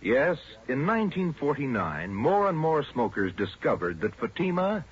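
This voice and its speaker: Old time radio ad guy, really animated sales pitch, emphatic, muffled vintage sound. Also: moderate pace. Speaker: commercial pitchman, radio announcer. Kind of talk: 1940s radio advertisement. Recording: dull vintage radio recording.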